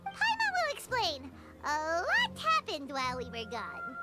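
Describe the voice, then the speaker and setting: An actress voicing a tiny childlike fairy companion in a video game, squeaky, perky, blunt and excitable. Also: female voice, unhurried, heavily acted. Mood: chirpy. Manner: chirpy, excitable, talks in third person. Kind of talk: scripted game dialogue. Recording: loud music under the voice.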